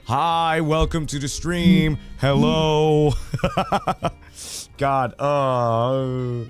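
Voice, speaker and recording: A man chatting casually on a livestream, hoarse today, loud in his greetings and swearing freely. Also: a little high, steady tone, extremely slow, soft background music. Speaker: streamer.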